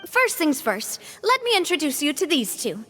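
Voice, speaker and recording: An actress playing a gracious, earnest young gang leader in a video game, speaking politely and with care. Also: female voice, high voice, very animated, normal speed, pushed and bright, a little hiss or hum, heavily acted. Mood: friendly, explaining. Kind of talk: video game dialogue acting.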